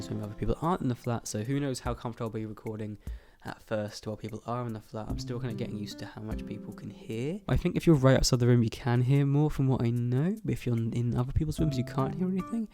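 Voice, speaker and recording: A young man with a stuffy nose from a cold, rambling casually to camera about moving into his student flat, swearing often and laughing between thoughts. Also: medium pitch, quick pace, hardly any pauses, music in the background. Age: young adult. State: congested from a cold. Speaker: YouTuber. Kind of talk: casual vlog chat to camera.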